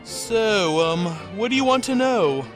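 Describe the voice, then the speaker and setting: A man's voice: high voice, expressive, measured pace. A man playing a whiny, prissy young lawyer in a comedic cartoon parody, prim and easily indignant. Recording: music behind the voice.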